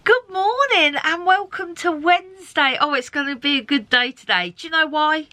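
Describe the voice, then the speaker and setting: A woman's voice: high voice, normal speed, bright, forceful tone, no room sound. A woman hosting her own video diary in an upbeat, chatty way, talking straight to the camera from around her narrowboat. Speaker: vlog host. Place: narrowboat and outdoors.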